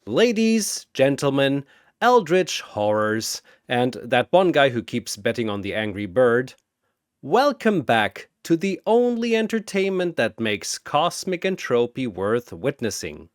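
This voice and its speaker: A man voicing a bombastic cosmic arena announcer for a fantasy battle story, with theatrical, drawn-out delivery. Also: mid-range voice, sing-song, dry recording, clean studio sound. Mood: bombastic, showy.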